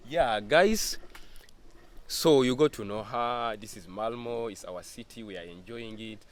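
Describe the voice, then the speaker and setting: A man's voice: medium pitch, unhurried. A man hosting a casual interview for his YouTube channel, who eagerly repeats his guest's answers back to her.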